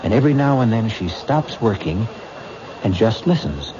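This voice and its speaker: A man hosting and narrating an old-time radio mystery in a deliberate, ominous, theatrical style. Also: lowish voice, big pitch swings. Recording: muffled, noisy old radio broadcast.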